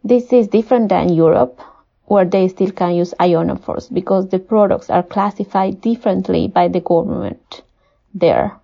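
A woman from Peru with a Spanish accent, a poultry nutritionist giving measured, formal, prepared answers as an interview guest. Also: medium pitch, gravelly, mellow. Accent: Peruvian Spanish. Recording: dull, muffled lo-fi audio.

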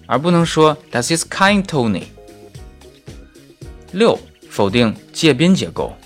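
A man's voice: medium pitch, a bit bright. A man who is a native Chinese speaker, teaching German grammar in a scripted but conversational lesson with carefully spoken examples. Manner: didactic, conversational particles, slow careful example sentences. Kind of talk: scripted audio lesson with casual asides.

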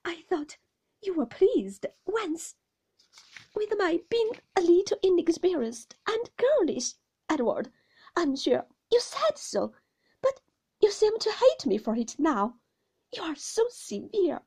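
A woman's voice: very high voice, sing-song, unhurried, darkish tone, voice pushed up, full character voice. A woman voicing a timid, girlish young wife in an audiobook, faltering and plaintive as she pleads. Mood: tearful, protesting. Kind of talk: audiobook character acting of a classic novel.

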